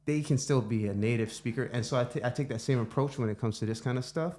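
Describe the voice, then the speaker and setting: A middle-aged man hosting a podcast in his home studio, talking in a relaxed, reflective way and thinking aloud with restarts. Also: medium pitch, fast talker. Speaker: podcast host. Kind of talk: casual conversation.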